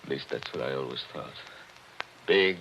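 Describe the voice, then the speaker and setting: An actor playing a brooding attorney who narrates wistfully in a 1940s radio mystery. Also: male voice, normal speed, a bit bright, a little hiss or hum. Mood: reflective. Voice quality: low, reflective, wistful. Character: brooding middle-aged male attorney narrator. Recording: dull old radio recording with music.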